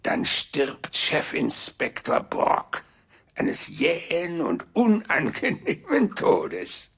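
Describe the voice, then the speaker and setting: An actor playing a smug, theatrical villain in a German radio drama, drawling with mock courtesy and a raspy voice. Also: male voice, a little high, huge pitch swings, very slow, heavily acted. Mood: threatening. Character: Behringer, smug theatrical villain, cackling and menacing.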